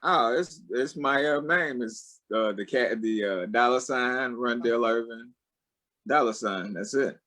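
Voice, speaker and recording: A man's voice: mid-range voice, unhurried, bright, forceful tone. A man, a guest, bantering in a laid-back, playful way. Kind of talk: joking banter.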